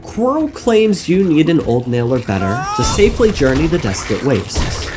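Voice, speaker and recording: A man narrating a scripted game lore video essay in a wry, theatrical manner with dramatic pauses. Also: on the high side, very animated. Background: music.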